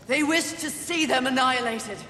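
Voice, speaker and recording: Stern warrior in an echoing hall. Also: female voice, high-pitched, moderate pace, sharp tone. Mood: urgent, persuasive. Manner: solemn, formal, declamatory. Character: stern, resolute female horseman warrior sworn to protect humanity. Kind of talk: dramatic video game cutscene acting. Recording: echoey hall reverb, faint background noise.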